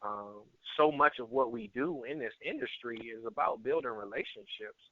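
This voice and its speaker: A man's voice: mid-range voice, expressive. Man on a tinny phone line. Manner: earnest, halting, repeats words. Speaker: guest, network marketer and area manager. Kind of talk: conversational testimonial on a conference call. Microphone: telephone conference call.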